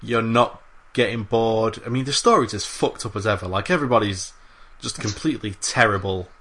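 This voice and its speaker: Keen podcast host with a bright voice. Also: male voice, on the low side, a little fast. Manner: enthusiastic, swears freely, many fillers. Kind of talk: casual chat.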